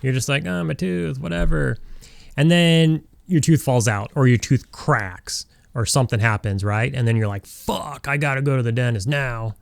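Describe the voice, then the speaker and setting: A man's voice: medium pitch, moderate pace, vocal fry, no room sound. A man in his forties from Southern California, chatting casually and rambling with lots of fillers while he plays a game. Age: 40s. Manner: rambling, many fillers and restarts. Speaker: gaming streamer.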